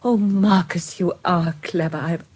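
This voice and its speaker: An anxious British woman in a radio play. Her voice is lowish and very breathy, with an earnest, hesitant, devoted manner. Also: fairly even intonation, unhurried, darkish tone. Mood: admiring, subdued. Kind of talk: radio drama acting.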